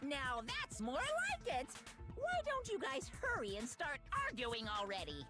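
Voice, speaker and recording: A woman's voice: high voice, huge pitch swings, measured pace, exaggerated voice. A woman voicing a sadistic, mischievous mascot bear in a video game, theatrical and teasing in a mock-sweet way. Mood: mocking, playful. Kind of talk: video game character acting. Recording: game music behind.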